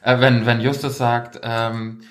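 A man's voice: mid-range voice, level tone, a little slow. A man hosting a casual podcast chat, playfully quizzing his co-hosts and reading out answer options. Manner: playful, reads out quiz options. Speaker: podcast host, quiz master.

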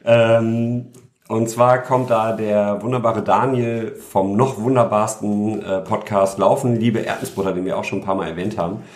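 A man's voice: on the low side, fairly even intonation, moderate pace, echoey room. A man co-hosting a podcast, chatting casually and stumbling over his words as he rambles. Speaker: podcast co-host.

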